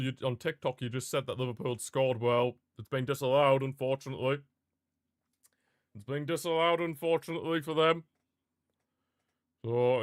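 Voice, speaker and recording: A man's voice: medium pitch, dry recording, clean studio sound. A man reacting live to a football match as a streamer, ranting and calling out to his chat. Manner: passionate, frustrated fan outbursts and rants; talks to live chat viewers.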